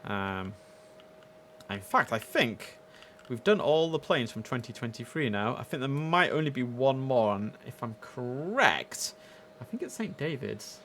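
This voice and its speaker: A man's voice: medium pitch, big pitch swings, a little slow, fairly bright tone. British man streaming, with background noise. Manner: chatty, informal, addresses viewers directly. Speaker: livestream host and gamer. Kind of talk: casual game stream commentary. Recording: clear background noise and ambience.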